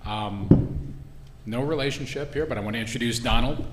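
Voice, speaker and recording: A man moderating a conference panel, casual and halting, often repeating words. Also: medium pitch. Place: conference hall. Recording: echoey room, faint hum.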